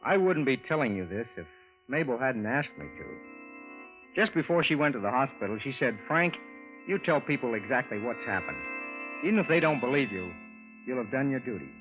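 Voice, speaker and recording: An American actor playing an ordinary, earnest, mildly puzzled husband in a mid-century radio drama, plainspoken and conversational. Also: male voice, medium pitch, big pitch swings, a little fast, over music, close to an everyday voice. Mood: earnest, recounting. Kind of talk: 1950s radio drama acting, first-person narration. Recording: thin, phone-like vintage radio recording.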